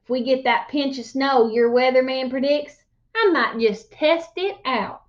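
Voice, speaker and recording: A folksy old man played by a woman spins boastful tall tales from a children's storybook. The voice is high and given to grand exaggeration, on a slightly dull, lo-fi recording. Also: measured pace. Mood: folksy, playful. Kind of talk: children's storybook read-aloud.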